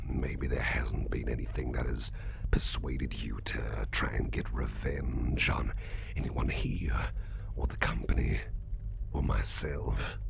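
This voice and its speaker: A man playing a suave, genteel boss interrogating an employee in an ASMR roleplay, in a gravelly half-whisper with slow, deliberate, wordy phrasing. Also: very deep voice, noisy background, full character voice. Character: suspicious but kindly crime-tinged boss, suave interrogator.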